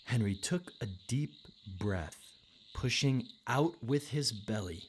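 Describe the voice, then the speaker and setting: Female storybook narrator, very low register, lively swooping intonation, unhurried pace for rhyming read-aloud. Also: a little hiss or hum.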